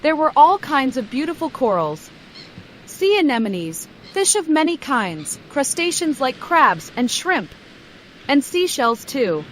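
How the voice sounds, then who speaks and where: High-pitched, animated woman storyteller. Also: measured pace, a little hiss or hum, low-quality recording. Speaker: narrator. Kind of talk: scripted children's bedtime story narration.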